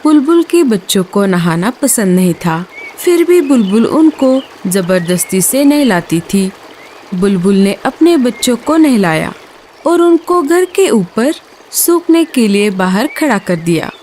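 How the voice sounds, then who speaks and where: A woman's voice: fairly high pitch, big pitch swings, measured pace, a little mellow, a little hiss or hum. A woman giving scripted narration for a children's story.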